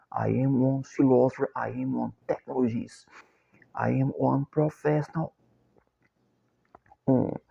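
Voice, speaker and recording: Man, Brazilian Portuguese accent, middle-aged, gravelly, dark, repetitive halting delivery, dull lo-fi recording. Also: mid-range voice. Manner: slow, halting, repeats phrases like a mantra. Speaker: self-described copywriter and philosopher. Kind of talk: repetitive chant-like monologue.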